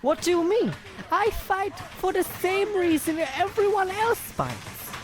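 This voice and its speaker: A man's voice: extremely high pitch, expressive, measured pace. A man playing a naive, easily confused young Bavarian boxer with a theatrical, flowery way of talking in a comic radio play. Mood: grandiose, effusive. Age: young adult. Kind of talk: comic old-time radio play acting.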